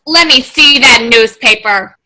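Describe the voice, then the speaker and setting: Radio play villainess, acted by a woman: grasping old banker, very bright hard voice, measured and condescending. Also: on the high side, low-quality recording. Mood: demanding. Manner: deliberate, condescending, commanding. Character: scheming old female banker, rich, domineering and grasping. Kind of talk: old-time radio drama acting.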